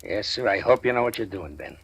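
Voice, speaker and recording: A middle-aged gangster and former preacher in a 1940s radio thriller. His voice is slightly low and gravelly, with a folksy drawl. Under the easy talk runs a quiet threat. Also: animated, fairly quick, background noise. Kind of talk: 1940s radio drama acting.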